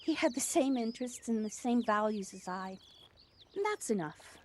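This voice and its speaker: A woman's voice: a little high, lively intonation, moderate pace, a little mellow, natural voice. An actress playing a proud, devoted widowed mother who runs a cafe, speaking earnestly and reflectively in an audio play. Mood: wistful, proud. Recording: noisy home recording on personal device.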